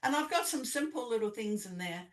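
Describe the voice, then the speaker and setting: A woman's voice: a little high, lively intonation, fairly quick. Older kiwi woman chatting as a podcast guest, echoey room, bit far from the mic. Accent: New Zealand. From New Zealand. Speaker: podcast guest, business coach. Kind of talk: conversational interview.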